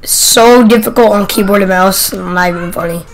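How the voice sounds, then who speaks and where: An excitable gamer giving casual gameplay commentary and calling out to other players in slangy, profane language. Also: mid-range voice, normal speed. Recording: noisy mic with keyboard clicks.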